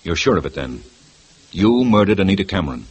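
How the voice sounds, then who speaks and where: A calm, firm detective. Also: male voice, sits low, a little fast, breathy edge, dull audio, heavily acted. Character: calm, persistent police detective. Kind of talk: 1950s radio drama acting.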